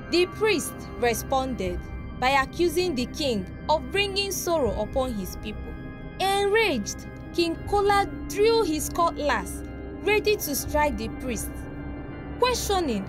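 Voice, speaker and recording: A woman narrating a scripted folktale in a dramatic storytelling manner. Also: high voice. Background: background music.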